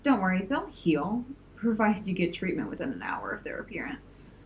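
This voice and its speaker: A woman playing a commanding young supervillain in an audio drama, formal and deliberate. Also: medium pitch, big pitch swings, lo-fi sound, natural voice. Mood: coolly threatening. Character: commanding, coolly threatening young supervillain leader. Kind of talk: audio drama villain acting. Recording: faint noise and room ambience.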